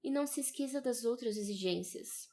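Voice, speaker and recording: A woman's voice: a little high, brisk, dark tone, lightly acted. Woman acting a domineering, taunting mother. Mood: businesslike. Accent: Brazilian. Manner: assertive, taunting. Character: domineering, provoking mother, hard bargainer, fiercely protective. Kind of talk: audio novel character acting.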